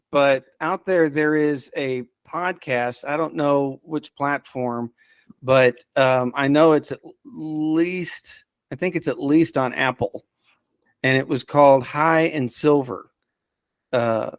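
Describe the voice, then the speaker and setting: Man chatting haltingly in a dry-sounding space. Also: medium pitch, no room sound. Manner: halting and rambling, searching for words. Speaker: podcast guest reviewing audio dramas. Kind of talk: casual unscripted chat, recommendations.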